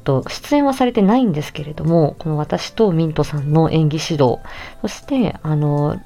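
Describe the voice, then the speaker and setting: Low-pitched, softly mellow woman chatting solo. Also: lively intonation, moderate pace. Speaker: voice actor presenting own work. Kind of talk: casual solo announcement talk.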